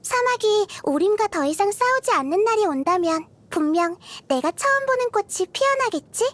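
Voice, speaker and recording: A woman voicing a gentle, curious little girl deity of wisdom in a game, soft-spoken and thoughtful, as if kindly explaining. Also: very high voice, animated, moderate pace. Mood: wise, musing. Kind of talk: scripted game character voice lines. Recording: music behind the voice. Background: background music.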